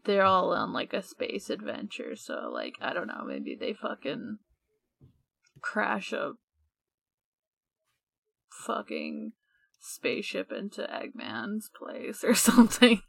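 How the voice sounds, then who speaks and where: Casting: female podcast co-host, creaky and unhurried, hesitant, trailing-off casual chat with offhand swearing. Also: mid-range voice, wildly swooping pitch, fairly bright tone, no echo.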